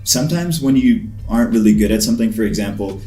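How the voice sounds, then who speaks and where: Low-voiced man in a roomy space. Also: dark tone. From Pakistan. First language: Urdu. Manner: reflective, measured storytelling. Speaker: guest, basketball trainer. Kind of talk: casual interview conversation. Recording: roomy echo, faint music behind.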